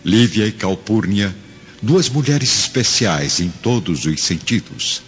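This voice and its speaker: A man's voice: on the low side, very animated, slow pace. A man narrating a radio drama in a solemn, literary, scripted style. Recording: dull audio. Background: music.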